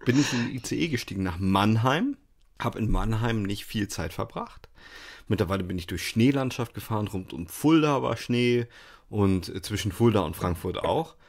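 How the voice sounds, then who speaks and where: A man's voice: lowish voice, lively intonation, moderate pace. A man co-hosting a podcast, telling rambling anecdotes in a casual, tongue-in-cheek way. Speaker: podcast co-host.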